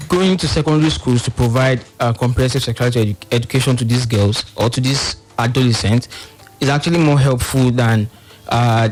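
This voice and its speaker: A man's voice: medium pitch, low-quality recording. A man with a Nigerian accent giving explanations as a radio guest, hesitant and often repeating phrases. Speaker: panel guest, monitoring and evaluation officer. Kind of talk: explanatory radio interview talk.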